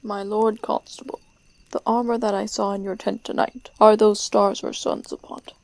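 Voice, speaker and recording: Young woman as creaky-voiced young French lord. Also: mid-range voice, little pitch movement, normal speed, a little mellow, no echo, lightly acted. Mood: curious, eager. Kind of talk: radio theater Shakespeare acting.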